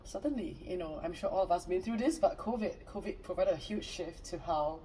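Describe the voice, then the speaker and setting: Woman from Singapore, mellow and distant. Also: on the low side, brisk, slight background noise. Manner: upbeat, friendly, frequent fillers. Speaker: guest. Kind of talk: casual interview chat.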